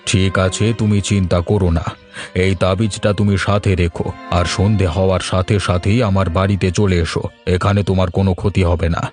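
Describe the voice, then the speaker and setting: A man's voice: sits low, level tone, brisk, a little mellow, soft background music, lightly acted. A man voicing a middle-aged village man in a cartoon, with an earnest, dramatic delivery. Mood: calm, reassuring. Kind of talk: cartoon voice acting.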